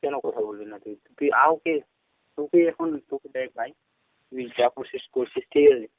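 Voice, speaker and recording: A man's voice: mid-range voice, fairly quick, no room sound. A man with a Bengali accent questioning someone confrontationally over the phone in short, repeated questions. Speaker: phone caller posing as a girl's uncle. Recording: tinny phone-line sound, dry.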